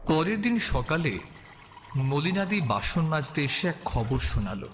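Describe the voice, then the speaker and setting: A man's voice: on the high side, very animated, a little slow. A man narrating a scripted suspense audio story as the storyteller. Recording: long reverb, clear background ambience noise.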